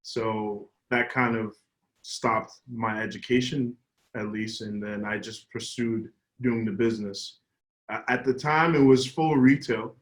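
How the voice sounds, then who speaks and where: A young man, a business owner telling his story casually, animated with quick restarts. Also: lowish voice, low-quality recording. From Somerville, Massachusetts, US. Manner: animated, laughing, stumbling restarts. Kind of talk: casual interview answers.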